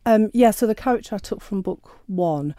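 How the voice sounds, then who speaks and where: Author guest, woman, mellow lowish voice, chatty and hesitant, dry close sound. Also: lively intonation, moderate pace, no room sound. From Sheffield, England. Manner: chatty, hesitant with many ums and restarts. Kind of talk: radio interview.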